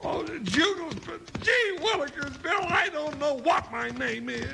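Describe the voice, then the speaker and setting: An actor playing a folksy, excitable, loyal cowboy sidekick in a 1950s radio western, full of homespun slang. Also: male voice, very high voice, unhurried, vocal fry, over loud music, heavily acted. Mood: comic worry. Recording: noisy vintage radio recording.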